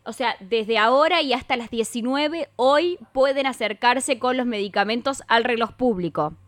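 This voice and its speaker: Argentine lady radio host, kinda high voice, informal chatty interview style, dry close sound. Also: normal speed, a bit bright, no room sound. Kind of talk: live radio interview and chat.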